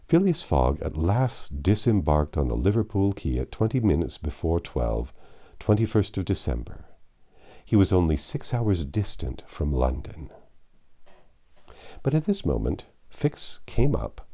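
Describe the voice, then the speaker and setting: A man narrating a classic adventure story aloud in an expressive storybook style. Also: low-pitched. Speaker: audiobook narrator.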